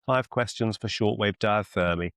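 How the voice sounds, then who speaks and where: Male business mentor, deep voice with gravelly fry, deliberate and encouraging, close dry sound on a coaching call. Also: a bit bright, no room sound. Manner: deliberate, encouraging, repeats phrases for emphasis. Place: video call.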